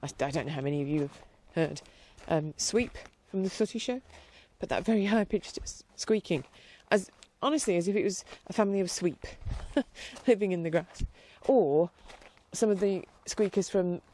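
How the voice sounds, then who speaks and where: A woman host chatting casually outdoors in her garden, rambling along with a blocked-up nose and dropping quieter at times. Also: lowish voice, moderate pace. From England. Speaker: podcast host. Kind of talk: casual chat.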